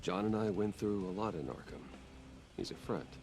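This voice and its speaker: Actor playing a brooding wealthy man, deep creaky voice, measured terse replies, guarded, video game drama. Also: a little mellow, faint music behind, natural voice. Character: guarded, stoic wealthy male hero, adult, brooding.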